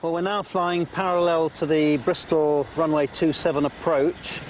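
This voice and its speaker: A man's voice: a little high, fairly even intonation, moderate pace, noisy background. A man working as a balloon pilot, giving radio calls and informal explanations.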